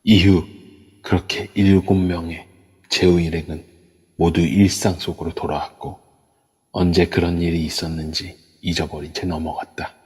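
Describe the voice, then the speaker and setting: Deep, husky male narrator. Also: flat delivery, a little mellow, in a large hall. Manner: measured storytelling. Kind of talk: scripted narration. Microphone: phone app mic.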